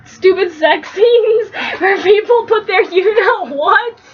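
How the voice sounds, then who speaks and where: A woman's voice: extremely high pitch, takes it slowly. A woman co-host in casual comic banter, complaining in an exaggerated, exclamatory way, on a dull lo-fi recording. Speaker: podcast co-host.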